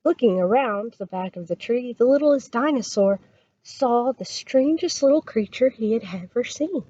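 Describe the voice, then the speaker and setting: A woman reading a children's story aloud as a storytime reader, expressive and dramatic. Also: fairly high pitch, moderate pace, lo-fi sound.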